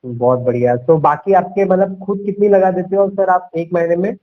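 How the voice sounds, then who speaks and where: A man's voice: sits high, moderate pace. A young man talking as a sales coach on a phone call, persuasive and conversational, repeating himself and mixing in English words. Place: at home. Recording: dull lo-fi call recording.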